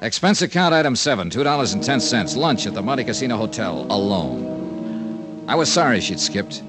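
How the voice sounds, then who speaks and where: Blunt wry detective, American accent, lowish voice, animated intonation, sardonic, clipped, vintage radio drama. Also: male voice, moderate pace, music in the background. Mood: dry, matter-of-fact. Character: hard-boiled, wry, blunt American insurance investigator. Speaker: lead detective and narrator. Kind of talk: 1950s radio detective acting.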